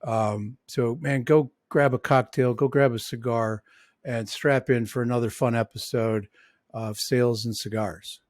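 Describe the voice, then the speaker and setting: A man's voice: mid-range voice, steady tone, measured pace, no room sound, studio quality. Rambling American man hosting a podcast. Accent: Midwestern and New York American. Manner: rambling, many restarts and fillers. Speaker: podcast host. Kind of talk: casual interview chat.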